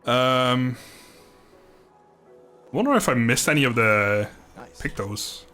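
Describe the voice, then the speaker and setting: A man's voice: medium pitch, expressive, slow, sharp tone. Casual male game streamer over music. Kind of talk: casual livestream gameplay commentary. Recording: music playing behind the voice.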